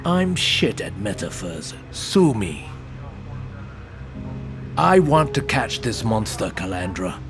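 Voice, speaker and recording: A man playing a gruff, blunt, foul-mouthed senior police detective with a gravelly voice in an audio drama. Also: on the low side, very animated, takes it slowly, long pauses, over music, exaggerated voice. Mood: intense, persuading. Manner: blunt, persuasive, intense.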